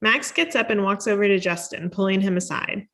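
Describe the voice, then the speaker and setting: A woman's voice: mid-range voice, a little fast, a bit bright. A woman reading stage directions as scripted narration at a table read.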